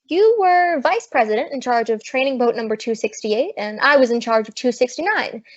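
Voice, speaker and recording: A dull, lo-fi radio comedy recording carries a wisecracking, down-on-her-luck American showgirl, played by a woman. Her voice sits fairly high, and she tosses off slangy lines in a breezy deadpan, in 1940s style. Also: normal speed. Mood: wry. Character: wisecracking, street-smart, broke American showgirl Maisie.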